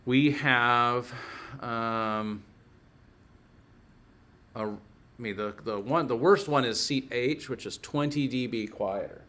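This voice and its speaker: A man's voice: medium pitch, slow, long pauses, sharp tone. A man teaching a casual hands-on technical lesson, informally thinking aloud as he works through each step.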